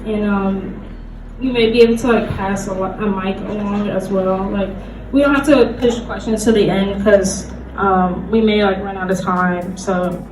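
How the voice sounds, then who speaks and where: A woman's voice: medium pitch, fairly even intonation, unhurried, noisy background. A woman giving an informal convention panel presentation in a casual, hesitant, conversational way. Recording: roomy, distant mic, loud background music.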